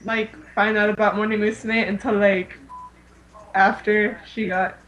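A woman's voice: medium pitch, flat delivery, measured pace, a bit bright, poor audio. A woman co-hosting a casual fan podcast, speaking in an informal, giggly way. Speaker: podcast co-host.

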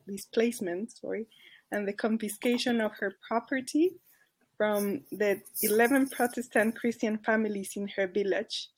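A woman with a Mexican accent, a podcast guest earnestly telling a case story and often stopping to correct herself. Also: mid-range voice. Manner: earnest, careful, with restarts and self-corrections. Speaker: charity advocacy worker.

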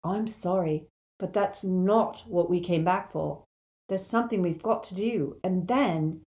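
A woman voicing a burdened, evasive teenage boy in an audiobook, halting and strained with urgency. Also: fairly low pitch, darkish tone. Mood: distracted, panicked. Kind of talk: audiobook character voice.

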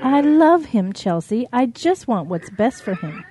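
Mother cow for audio drama, played by a woman: indulgent, earnest, defensive, unhurried with swooping intonation. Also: fairly high pitch, sing-song, dark tone, husky, soft background music, heavily acted. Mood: doting, earnest. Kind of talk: children's animal audio drama acting.

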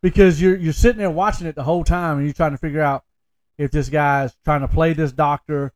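Higher-pitched man chatting casually about films. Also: steady tone, brisk, darkish tone. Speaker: podcast co-host.

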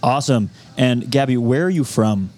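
A man's voice: mid-range voice, lively intonation, moderate pace, mellow. Upbeat male podcast host. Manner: upbeat, brief reactions. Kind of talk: casual interview. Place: brewery.

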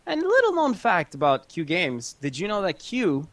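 A man's voice: high voice, huge pitch swings, normal speed, fairly bright tone, no room sound. A young man from Canada hosting a gaming podcast in casual, joking chat, swearing and laughing often. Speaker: podcast host.

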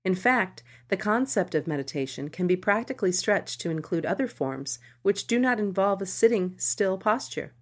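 A woman podcast host reading scripted meditation guidance in a measured, instructional manner. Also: mid-range voice, expressive. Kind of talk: scripted narration of a meditation article.

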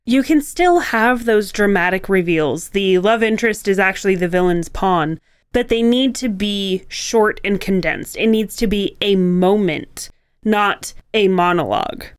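A woman's voice: medium pitch, unhurried, smooth, no room sound. A woman co-hosting a writing podcast, explaining points in a conversational way.

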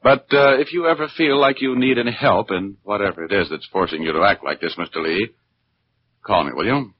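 Man acting low-voiced American radio private eye. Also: fairly quick, natural voice. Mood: firm, probing. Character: wry, persistent male private detective. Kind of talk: 1940s radio detective acting and first-person narration. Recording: muffled, noisy 1940s radio recording.

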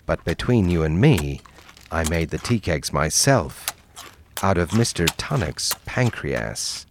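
An actor playing a cultured cannibal doctor villain who leads a villains' support group, speaking in a soft, measured way. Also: male voice, low-pitched, very animated, background noise, full character voice.

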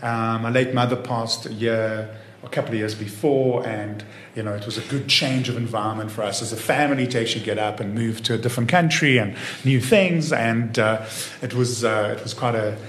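A man's voice: mid-range voice, fairly quick, a bit bright, reverberant, slight background noise. A man in his fifties who grew up in Israel, talking easily as an interview guest and leaning on "you know" as he goes. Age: 50s. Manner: easygoing, many "you know" fillers and restarts. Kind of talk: relaxed conversational interview.

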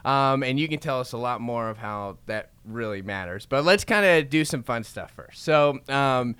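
A young man hosts a relaxed church conversation, his voice bright and crisp. He tells stories in an animated, chatty way and laughs easily. The sound is dry and close, with no room around it. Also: medium pitch, moderate pace, dry recording. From Florida. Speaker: podcast host. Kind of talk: casual interview chat.

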